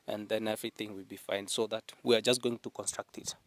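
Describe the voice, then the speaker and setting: A man vlogging outdoors in a village, slowly explaining things on a casual tour and laughing often. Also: fairly low pitch, flat delivery, a bit bright, no echo. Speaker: vlogger giving a farm tour. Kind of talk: casual guided tour chat.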